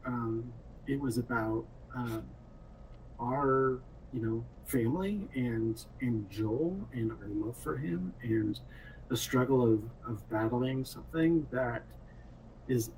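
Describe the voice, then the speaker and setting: A man's voice: mid-range voice, darkish tone, far from the mic, low-quality recording. A man talks in a casual, reflective way, feeling out his phrases. His voice is mid-range and sits a bit away from the mic, over faint noise. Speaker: game director and programmer. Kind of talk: casual panel talk.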